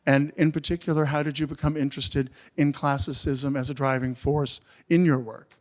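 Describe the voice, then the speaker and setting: Man, higher pitch, moderator, hesitant, filler-heavy. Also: level tone, normal speed. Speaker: panel moderator. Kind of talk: moderating a panel.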